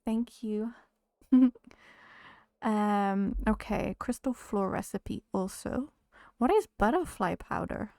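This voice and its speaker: Woman game streamer, mid pitch, gravelly and dry close-mic sound, voice going hoarse. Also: takes it slowly, warm tone, no echo. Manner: chatty, greets viewers, talks to game characters. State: losing her voice. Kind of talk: casual live-stream chat while playing.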